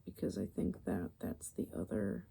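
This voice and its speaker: Asmr girl rambling softly, super gravelly fry, mellow and kinda hesitant, thinking out loud. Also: a bass voice, very animated, normal speed. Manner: hesitant, musing, thinking aloud while drawing. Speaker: ASMR video creator. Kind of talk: ASMR, soft rambling explanation.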